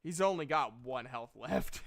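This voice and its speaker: A man's voice: medium pitch, animated, a little slow, creaky, a bit bright. A man casually narrating and refereeing a tabletop game as game master, with a self-conscious, apologetic manner.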